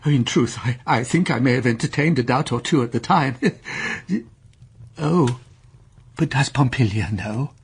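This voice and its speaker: An actor in a period radio drama playing a timid, doting old husband, anxious, hesitant and stammering. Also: male voice, mid-range voice, lively intonation, a little slow, vocal fry, husky, heavily acted. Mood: tender, fretful. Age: older.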